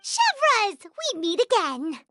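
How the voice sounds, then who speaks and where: A woman voicing a small, childlike, curious fairy companion in a video game, with a squeaky, chirpy, naive delivery. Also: huge pitch swings, slow, exaggerated voice. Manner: speaks of herself in third person, inquisitive. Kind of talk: cartoonish video game voice acting. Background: game music.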